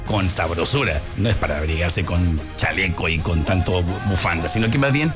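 A Mexican man hosting a radio show, chatting casually and reading announcements in an animated, talkative way. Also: deep voice, music in the background. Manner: animated, chatty, quick asides. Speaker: radio host.

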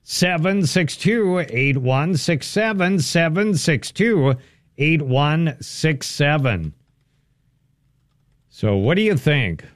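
A man's voice: fairly high pitch, animated, slow pace, dry recording, clean studio sound. A man hosting a local radio show, chatting easily and running a call-in trivia game. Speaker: radio host. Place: radio studio.

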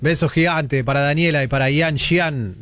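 A man's voice: on the high side, moderate pace, a crowd in the background. An Argentine man hosting a radio show, chatting casually with listeners in an informal, rambling way. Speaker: radio host. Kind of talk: casual late-night chat with listeners. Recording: music playing behind. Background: music.

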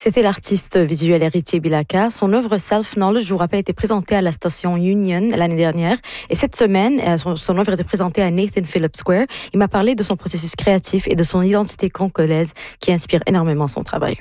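A woman's voice: fairly low pitch, fairly quick, hardly any pauses, mellow, dry recording. A woman working as a radio reporter, wrapping up an arts segment in a semi-scripted way.

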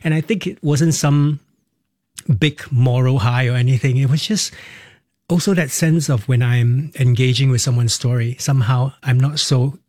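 A man's voice: mid-range voice, fairly even intonation, moderate pace, darkish tone, dry recording, studio quality. A man in his fifties with a Singaporean accent, a Cantonese native speaker, telling his life story as an interview guest in a reflective, candid way with short restarting phrases. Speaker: interview guest, musical theatre performer.

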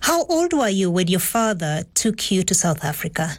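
A woman presenting a news program, reading items and interviewing guests in a measured way. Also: lowish voice, big pitch swings, no room sound. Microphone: studio mic.

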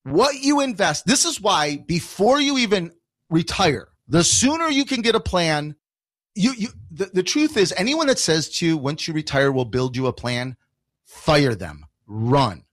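A high-voiced man with an American accent teaches on a radio program. He is emphatic, hammering points through repetition and rhetorical questions, in a dry studio recording. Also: big pitch swings, a little slow, a bit bright, no echo. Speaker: financial educator and radio show guest. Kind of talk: explanatory radio talk.

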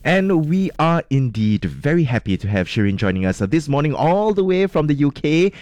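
A man from Singapore hosting an interview, chatty and lively in his questions. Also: a little high, moderate pace, dry recording. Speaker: host. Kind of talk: radio interview.

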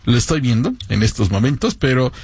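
A man co-hosting a sports radio show, chatty and excited. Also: medium pitch, a little fast, husky, dry recording, clean studio sound. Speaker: co-host. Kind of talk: casual sports radio talk.

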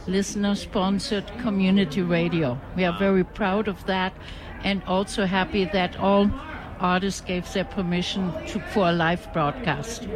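A man, a veteran radio DJ, speaking with a clear crowd murmuring around him. He introduces his station warmly and with evident pride. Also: sits high, low-quality recording. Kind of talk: radio interview. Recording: crowd noise behind.

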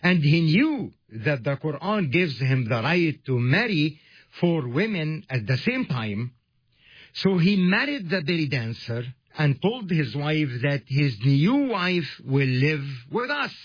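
A man hosting a radio ministry, preaching in a slow, emphatic and earnest way. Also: on the high side, sing-song, slightly rough, no room sound. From Egypt. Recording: dull lo-fi radio sound.

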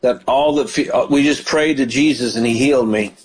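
A man's voice: mid-range voice, brisk, a little hiss or hum, low-quality recording. A man hosting a call-in prayer show as pastor, talking with callers and praying fervently with many hallelujahs. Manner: fervent, prays aloud with hallelujahs. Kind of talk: call-in ministry talk and prayer.

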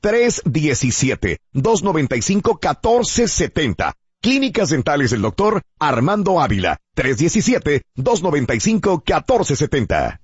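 Male ad announcer, husky and animated, persuasive radio read in a dry, dull booth sound. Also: dry recording. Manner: warm, persuasive pitch with slow repeated phone numbers.

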